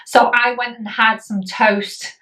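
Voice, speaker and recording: A woman's voice: fairly high pitch, level tone, slow, pushed and bright, recorded from a distance. A woman, chatting casually through a health update vlog with lots of ums and likes. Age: 40s. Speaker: vlogger.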